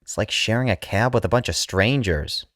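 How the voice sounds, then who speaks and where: A man's voice: on the low side, moderate pace, fairly bright tone, dry recording, studio quality, pitched above the performer's own voice, lightly acted. A man voicing a put-upon mother with a wounded, complaining manner. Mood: hurt, resigned.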